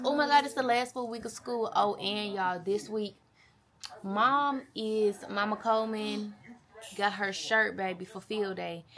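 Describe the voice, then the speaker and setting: A woman in her twenties chatting casually to camera as a vlogger, with a playful, animated and slangy way of talking. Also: mid-range voice. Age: 20s. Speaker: vlogger, mother.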